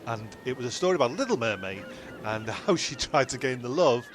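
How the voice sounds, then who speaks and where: A man's voice: medium pitch, big pitch swings, a little fast, a little hiss or hum, lightly acted. A man playing a cheeky, dim castaway who boasts and rambles in an ad-libbed comedy audio sketch. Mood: indignant. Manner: rambling, ad-libbing. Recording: music behind, blown-out homemade audio.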